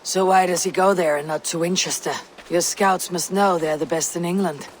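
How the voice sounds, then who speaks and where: An actress playing a stoic, resolute young Viking war leader with a grave, measured delivery, in a dramatic game style. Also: female voice, lowish voice, steady tone, slight background noise, close to an everyday voice. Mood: resolute, tactical. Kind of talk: dramatic video game acting.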